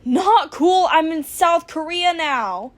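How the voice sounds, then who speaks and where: A woman's voice: very high-pitched, expressive, slow pace, pitched above the performer's own voice, full character voice. A woman voicing an earnest, panicky male speedster hero in a fan fiction reading. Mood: flustered.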